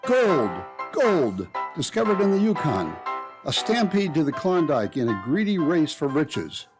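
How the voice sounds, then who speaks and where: A man delivers theatrical old-time radio narration in a slightly high voice. His delivery swings widely and dramatically, with music playing underneath. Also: sing-song, unhurried. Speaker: announcer and narrator.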